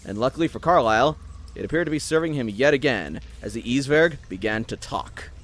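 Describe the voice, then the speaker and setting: A man's voice: medium pitch, animated, a little fast, a little hiss or hum. A man reading scripted, dramatic story narration.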